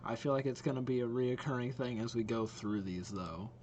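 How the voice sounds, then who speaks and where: A young adult man hosting a reaction video with casual, expressive, informal commentary. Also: medium pitch, a little fast, a little hiss or hum.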